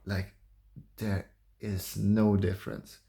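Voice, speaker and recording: A young man with a low, gravelly voice and a mellow, muted tone talks softly about himself. He is calm and hesitant, leaving long gaps as he thinks aloud in a confessional vlog. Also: slow pace. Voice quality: soft voice. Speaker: vlogger.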